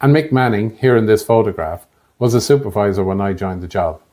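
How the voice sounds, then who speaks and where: A man in his late fifties with an Irish accent and a fairly low voice. He narrates from a script in a measured, factual manner, as a podcast host would. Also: warm tone. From Ireland. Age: late 50s. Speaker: podcast host, air traffic controller.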